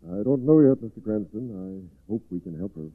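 An actor playing a grave, courteous doctor in a 1940s radio drama, husky and formal. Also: male voice, on the low side, expressive, fairly quick. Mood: grave, concerned. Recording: phone-like 1940s radio recording.

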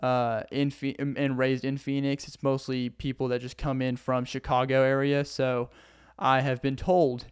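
A man's voice: mid-range voice, level tone, a little slow, smooth, no room sound, studio quality. A young man from Arkansas with a Southern accent, hosting a casual solo podcast in a rambling, earnest way and laughing often, while sitting on the floor indoors. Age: young adult. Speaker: podcast host.

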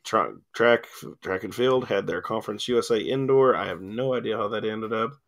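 Male sports radio host, gravelly, loose and rambling delivery, studio mic, voice wearing thin. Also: fairly low pitch, moderate pace. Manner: rambling, lots of ums and filler. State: losing his voice, coughing. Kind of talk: casual sports radio chat. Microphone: studio mic with cough button.

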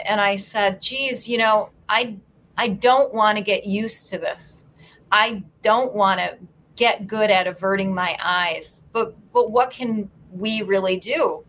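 A woman's voice: medium pitch, a bit bright, low-quality recording. A middle-aged woman from upstate New York, a lawyer and guest on a podcast, telling her story earnestly with many small restarts. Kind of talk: podcast interview.

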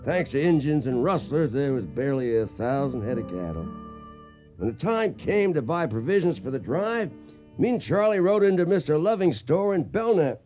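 Mellow, folksy cowboy storyteller. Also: male voice, on the high side, sing-song, normal speed, soft background music. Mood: reminiscing. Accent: American Western drawl. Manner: folksy, reminiscing. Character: folksy old-time cowboy storyteller recalling trail days. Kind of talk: first-person narration in Western radio drama.

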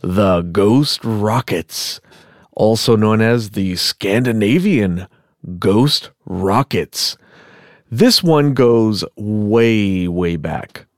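A man hosting a podcast who is a little sick, telling a story casually with rambling asides and self-corrections, clearing his throat now and then. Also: on the low side, lively intonation, very slow, no room sound, clean studio sound. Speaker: podcast host. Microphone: podcast microphone.

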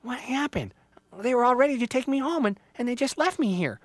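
Little storybook evergreen, young female played by a man: high, wide-eyed, earnest, hopeful yet unsure. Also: a little fast, dry recording. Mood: dejected.

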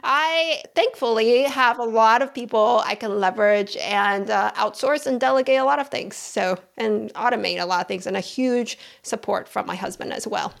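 A woman in her thirties from Taiwan, a physician guest on an interview, explaining in a steady, matter-of-fact way. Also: a little high, moderate pace, vocal fry, few pauses. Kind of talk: interview conversation.

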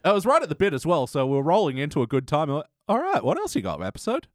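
A man hosting a podcast with casual, animated review banter and a little mild swearing. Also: a little high, fast talker, dry recording, studio quality. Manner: animated, conversational, mildly sweary. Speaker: podcast host.

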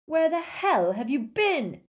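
A woman's voice: medium pitch, expressive, mellow, pitched above the performer's own voice, natural voice. A woman voicing a determined teenage boy hero who talks urgently. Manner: urgent, fast-talking.

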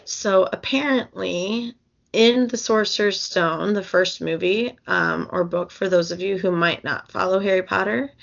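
A young woman chatting slowly on a casual podcast, her voice gravelly and starting to go. She rambles, circling back with fillers and restarts. The recording is dull and lo-fi. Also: medium pitch. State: losing her voice. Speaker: podcast co-host. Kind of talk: casual book podcast chat.

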